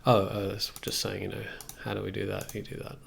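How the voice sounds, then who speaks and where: Very creaky-voiced young man, musing aloud. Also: fairly low pitch, completely flat delivery, brisk, a little hiss or hum. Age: young adult. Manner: musing, reads aloud then comments. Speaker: streamer. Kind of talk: casual live tutorial, thinking aloud. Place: small room indoors at computer desk.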